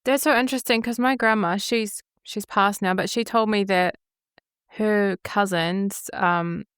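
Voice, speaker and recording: A woman hosting a podcast, chatty and quick to agree in casual conversation. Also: medium pitch, fairly bright tone, clean tone, no room sound, studio quality. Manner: chatty, frequent affirming interjections. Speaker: podcast host.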